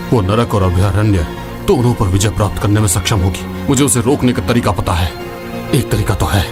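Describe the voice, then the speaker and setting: An actor playing a grave, wary veteran demon hunter and scholar in a fantasy game dub, solemn and measured. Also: male voice, on the low side, natural voice. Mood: urgent, resolute. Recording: music behind the voice.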